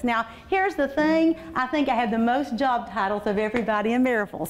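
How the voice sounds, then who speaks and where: A woman, a school administrator giving an energetic, folksy training talk to teachers in a large meeting room on a lapel mic. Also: high voice. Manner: energetic, folksy, animated gestures. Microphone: lapel mics.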